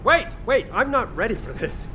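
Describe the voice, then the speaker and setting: A man's voice: high-pitched, big pitch swings, normal speed. A man playing an easygoing but sardonic fighter in a video game, with a conversational delivery. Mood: hesitant, questioning. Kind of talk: video game character acting. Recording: faint noise in game audio.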